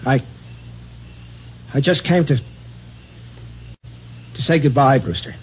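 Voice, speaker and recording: A man's voice: mid-range voice, drawn-out words, a little hiss or hum. An actor playing a nervous man who stumbles hesitantly over his words in a 1950s radio drama, on a dull old broadcast recording. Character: nervous, fearful man saying goodbye.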